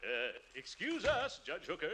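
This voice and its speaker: A bright, forceful, theatrical blustering middle-aged man from vintage radio comedy. He swoops across his range, stammering and pompous, over faint old-broadcast noise. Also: sits high, huge pitch swings, unhurried, full character voice. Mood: ingratiating. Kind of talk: 1940s radio comedy acting.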